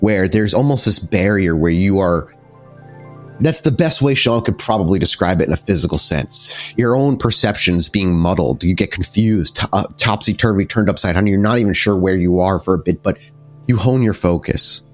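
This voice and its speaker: Man, slightly deep voice, game master, descriptive horror-game narration, halting word searches, background music.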